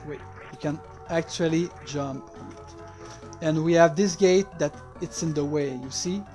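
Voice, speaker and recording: A native French-speaking man with a French accent gives casual, halting playthrough commentary as a game developer, hesitating in non-native English. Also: a little high.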